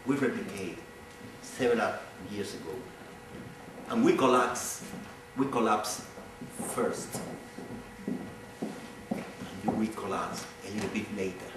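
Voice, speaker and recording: A man's voice: lowish voice, slow pace, pauses often, background noise. A middle-aged man from Cuba with a Cuban Spanish accent, a native Spanish speaker giving a public lecture earnestly, often repeating phrases as he searches for words. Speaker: visiting speaker. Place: large echoey hall. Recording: echoey hall from far off.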